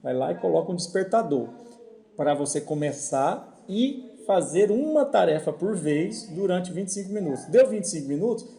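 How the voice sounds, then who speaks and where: Brazilian male podcast co-host, slightly high, mellow tone, casual explainer who circles back on his words. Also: gravelly. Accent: Brazilian Portuguese. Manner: explanatory, repeats words while thinking. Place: at home.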